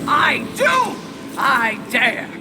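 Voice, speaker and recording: Loud music and long reverb surround a spiteful demon villainess from a video game. She draws out her haughty taunts slowly and theatrically, with venom in every phrase. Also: high voice, very animated, full character voice. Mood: defiant rage. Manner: taunting, drawn-out, venomous delivery.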